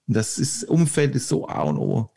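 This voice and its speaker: A middle-aged man with a German regional dialect talks on a podcast, circling his points with many restarts and short laughs. His voice has a gravelly, creaky edge at an average pitch. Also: huge pitch swings, normal speed, mellow. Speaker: podcast guest, author. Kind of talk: casual podcast conversation.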